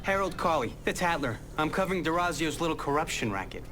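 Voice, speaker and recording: An actor playing a wry, eager tabloid reporter in a video game, chatty in manner. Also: male voice, fairly high pitch, very animated, fast, pushed and bright, faint music behind. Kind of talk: video game cutscene acting.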